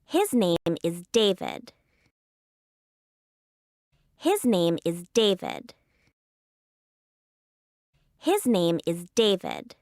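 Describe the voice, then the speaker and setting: Brief: polite young teen girl, slow careful delivery, bouncy intonation. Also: fairly low pitch, wildly swooping pitch, a little mellow, no echo, studio quality, exaggerated voice. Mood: plain. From Canada. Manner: short, slow, clearly spaced answers. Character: 13-year-old girl tennis player, polite and brief. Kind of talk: scripted textbook dialogue.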